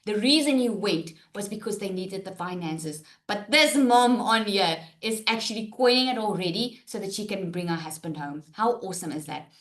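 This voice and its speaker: A woman's voice: medium pitch, moderate pace, hardly any pauses, a bit bright. Upbeat woman hosting a live webinar. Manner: upbeat, addresses viewers as guys. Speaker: webinar host. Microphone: video call.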